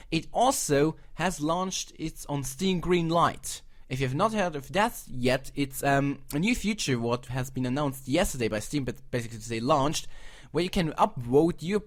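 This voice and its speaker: Young German-accented man, chatty reviewer, a touch high-pitched, self-correcting, opinionated. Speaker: video reviewer. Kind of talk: casual commentary.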